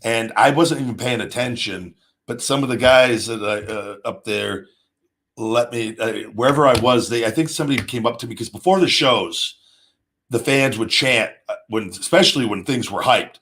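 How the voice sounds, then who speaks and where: A man's voice: medium pitch, fairly bright tone. A man in his late thirties hosting a casual, off-the-cuff talk show, rambling and often restarting his sentences. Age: 30s. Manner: rambling, halting, with repeated restarts. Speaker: show host, former pro wrestler. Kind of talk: casual off-the-cuff monologue answering viewers' questions.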